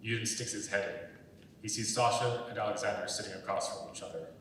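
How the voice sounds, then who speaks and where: Low-voiced male narrator in echoey hall. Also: a little fast. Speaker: stage-direction narrator. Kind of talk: scripted narration at a dramatic reading. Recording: echoey hall, far from mic, faint noise.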